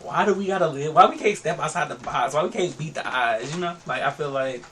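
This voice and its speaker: Animated young-sounding co-host, off-mic. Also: male voice, on the high side, fairly quick. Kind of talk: casual group discussion. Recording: distant mic with faint noise.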